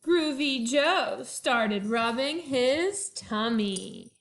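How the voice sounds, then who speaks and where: A woman with a high voice talks to young children as their teacher. She takes her time, stretching phrases expressively as in a picture-book read-aloud, and sometimes sings the refrains. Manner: expressive, drawn-out storytelling with sung refrains. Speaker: first-grade teacher. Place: at home.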